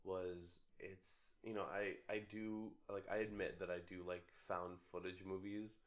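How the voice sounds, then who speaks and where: Young man, very low voice, sick with a cold, rambling, casual podcast chat. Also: level tone, a little slow. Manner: rambling, lots of filler words. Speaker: podcast co-host.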